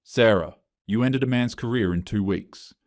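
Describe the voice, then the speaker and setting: A calm, stern man at work who speaks quietly and measured, as a narrator reads him. The low voice sits dry and close, with no room around it. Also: dry recording, natural voice. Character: calm stern male coworker confronting her.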